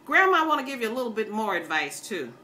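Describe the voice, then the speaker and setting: A woman's voice: a little high, very animated, fast, bright, forceful tone, a little hiss or hum. An older American woman chatting casually to her viewers in a folksy, opinionated, rambling way. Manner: folksy, opinionated, plain-spoken, calls listeners baby. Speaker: video blogger.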